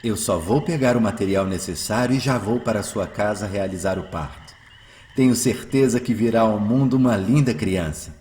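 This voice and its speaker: A Brazilian man acting a gentle, benevolent healer of the 1910s in a radio drama, speaking earnestly and soothingly. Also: lowish voice, normal speed, slight background noise, lightly acted. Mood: kindly, calm. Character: gentle, saintly male spiritist healer of the 1910s.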